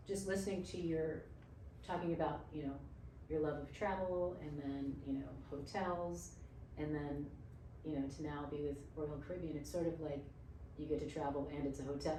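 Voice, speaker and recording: A woman's voice: low-pitched, steady tone, moderate pace, darkish tone, a little hiss or hum. A woman interviewing a guest, asking long, winding questions full of fillers and easy laughter. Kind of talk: casual interview conversation. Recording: very echoey room, voice far from mic.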